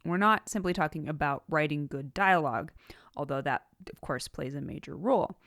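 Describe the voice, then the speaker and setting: A woman with a slightly low voice presents an instructional podcast from a script. She phrases things deliberately and leans on key words for emphasis. The sound is dry, with very little room in it. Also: creaky, fairly bright tone, no echo. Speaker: podcast host, writing teacher.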